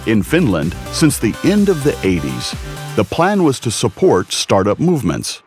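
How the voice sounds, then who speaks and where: A man reading a scripted documentary narration in a measured, informative way. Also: lowish voice, huge pitch swings. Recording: music bed under the voice. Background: guitar music.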